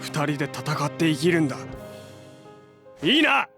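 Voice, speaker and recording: An actor playing a hot-blooded, defiant young hero in an audio drama, brash and emotional with rough, slangy delivery. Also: male voice, on the high side, big pitch swings, moderate pace, music in the background. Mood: fierce, emotional. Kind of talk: Japanese audio drama acting.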